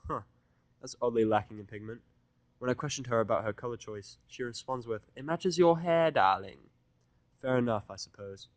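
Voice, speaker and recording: A man's voice: fairly low pitch, fairly even intonation, brisk, dry recording. A man reading a scripted first-person fan fiction narration in a blunt, sardonic manner.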